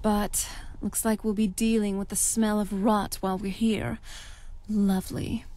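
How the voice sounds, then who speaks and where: A woman's voice: medium pitch, little pitch movement, measured pace, mellow, a little hiss or hum, close to an everyday voice. An actress voicing a young female vampire companion in a video game, sardonic and teasing yet caring, with a dry manner. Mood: wry, disgusted. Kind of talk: video game character dialogue.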